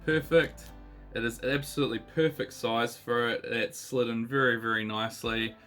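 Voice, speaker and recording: A man in his thirties with a New Zealand accent, still a bit husky from illness, chatting casually as he unboxes trading cards with lots of ums and uhs. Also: mid-range voice, fairly even intonation, moderate pace, fairly bright tone, soft background music. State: husky, recovering voice. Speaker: card collecting channel host.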